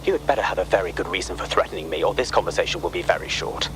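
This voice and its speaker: A stern male spy, speaking very low with lively inflection. He is terse, guarded and authoritative, a video game character heard over clear noise. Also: very fast. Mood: threatening. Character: guarded, stern male intelligence agent gone rogue.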